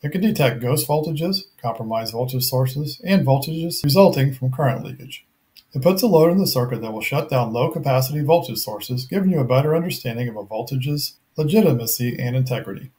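Middle aged guy reading out a tech review, mid pitched voice, measured and matter of fact. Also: expressive, darkish tone. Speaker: product reviewer. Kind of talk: scripted narration of a technical review.

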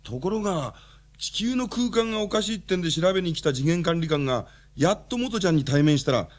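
A man playing an excitable, talkative young friend in a radio drama, explaining insistently. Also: sits high, a little fast.

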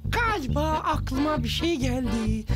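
A woman's voice: high-pitched, sing-song, a little slow, loud music behind the voice. A woman voicing a cheeky, scheming young bird child in a children's cartoon, bold and showy. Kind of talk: animated children's cartoon acting.

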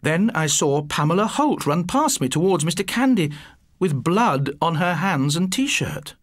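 A man's voice: a little high, sing-song, a little slow. A man reading a first-person story aloud as an audiobook narrator, theatrical and full of suspense.

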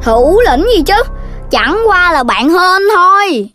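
Cartoon piglet boy: very high, unhurried, cheeky, food-loving and blunt, over music. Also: expressive, exaggerated voice. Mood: blunt, cocky. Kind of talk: children's cartoon dubbing in Vietnamese.

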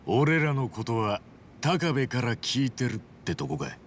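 Brash, animated street-tough hero. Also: male voice, medium pitch, unhurried, a little hiss or hum. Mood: measured, wry. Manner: rough, informal, punchy street-tough phrasing. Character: brash, hot-blooded male hero with a plucky, good-humoured temper. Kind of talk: dramatic video game voice acting.

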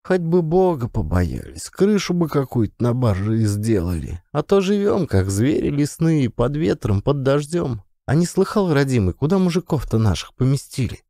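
A man's voice: mid-range voice, very animated, moderate pace, few pauses, mellow, no echo, studio quality, voice pushed up. A man voicing a weary, pious old peasant woman, lamenting in folksy, sighing speech. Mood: plaintive, weary.

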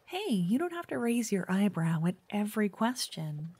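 Woman acting a soft-voiced, pushy, obsessive fangirl. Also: medium pitch, very animated, normal speed, husky, no room sound. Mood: insistent, teasing. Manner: chatty, coy, insistent, slipping into whispers. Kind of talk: ASMR roleplay.

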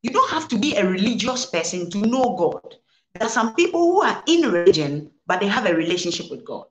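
A woman's voice: medium pitch, big pitch swings, brisk, reverberant, highs cut off. A woman reverend with a Ghanaian accent, answering interview questions in a preaching manner, mixing English and Twi and repeating her phrases for emphasis. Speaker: reverend guest. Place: studio set, indoors.

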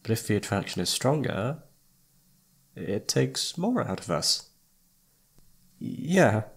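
A man's voice: lowish voice, big pitch swings, takes it slowly, vocal fry, breathy edge, full character voice. A man playing a shy, flustered young incubus roommate in an ASMR roleplay, hesitant and awkward, often trailing off. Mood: awkward, explaining. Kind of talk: ASMR roleplay audio drama.

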